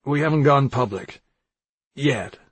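A man's voice: mid-range voice, unhurried, slightly rough, dry recording. A man voicing an angry, suspicious adult brother in an audiobook, blunt and confrontational. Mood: guarded. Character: angry, suspicious twin brothers, grown men, demanding answers. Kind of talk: audiobook character dialogue.

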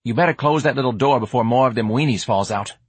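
A man's voice: mid-range voice, fast, husky, dry recording, pitched above the performer's own voice, natural voice. A man voicing a cocky, streetwise young male hustler who wheedles in casual slang for an audiobook. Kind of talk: audiobook character acting.

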